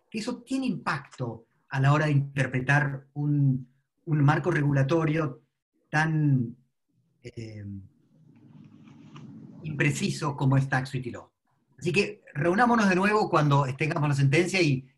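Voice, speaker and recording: A man's voice: on the high side, breathy edge. A middle-aged man, speaking on an online panel in a wry, lecturing way. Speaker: seminar panelist. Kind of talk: online academic panel discussion. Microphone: laptop mic on video call.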